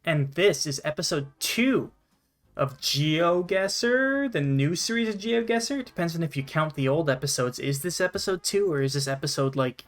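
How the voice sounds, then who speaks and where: A man's voice: a little high, lively intonation, normal speed. A man from Canada hosting a gaming show with casual, sweary gameplay commentary. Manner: swears freely.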